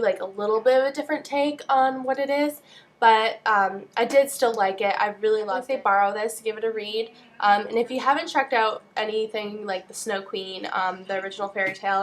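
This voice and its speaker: A young woman with a nasal voice, stuffed up with a cold, chatting casually as she gives book reviews. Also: fairly high pitch. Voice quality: nasal, congested. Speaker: book vlogger.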